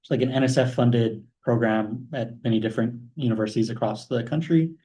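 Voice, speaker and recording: A young man from Hawaii giving an informal talk about his career as a guest speaker, relaxed, with many fillers. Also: mid-range voice, brisk. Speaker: guest speaker, math postdoc.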